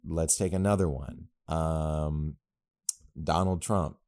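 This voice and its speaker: Young dude on a podcast, low mellow voice, rambly and jokey. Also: male voice, takes it slowly. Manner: rambling, jokey, animated asides. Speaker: podcast co-host. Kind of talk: casual podcast chat.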